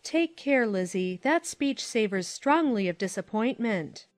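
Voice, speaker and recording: A woman voicing a sensible, concerned middle-aged aunt in a dramatized classic novel, gently admonishing in measured, formal phrases. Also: medium pitch, animated, soft background music, lightly acted.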